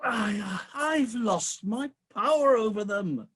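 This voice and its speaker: A man's voice: high-pitched, animated, takes it slowly, a bit bright, slightly rough, exaggerated voice. An actor playing a weary, disillusioned ageing king of the gods in a comic radio play, with a grumbling, theatrical delivery. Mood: despairing, frustrated.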